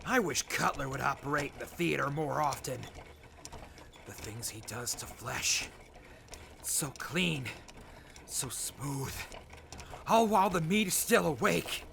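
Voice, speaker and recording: A man's voice: on the high side, lively intonation, takes it slowly, vocal fry, pauses often, a bit bright, slight background noise, heavily acted. A man playing a sadistic wasteland raider in an audio drama, gleeful and creepy, lingering over his words about gore. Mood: rapturous. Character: sadistic male wasteland raider who savors torture and gore. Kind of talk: post-apocalyptic audio drama acting.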